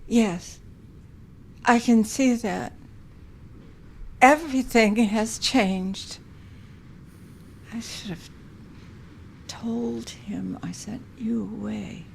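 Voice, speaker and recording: Fragile guilty widow in a radio play, very slow n hushed, some noise behind her. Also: fairly high pitch, gravelly, full character voice. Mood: regretful, shaken. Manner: halting, hushed, often dropping into whispers. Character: moody, guilt-ridden widow, fragile and haunted. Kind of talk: audio drama acting.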